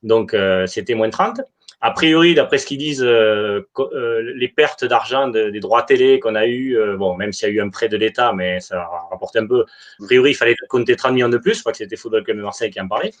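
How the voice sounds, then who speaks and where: A man debating casually as a football supporter, hesitant and halting, often repeating himself. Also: fairly low pitch. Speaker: football supporter in a live online fan debate.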